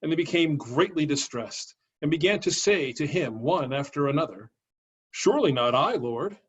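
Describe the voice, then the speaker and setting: A man's voice: fairly high pitch, animated, normal speed, breathy edge. A man, a pastor, giving a dramatic scripture reading over a video call. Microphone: video call microphone. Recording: dull lo-fi video call audio.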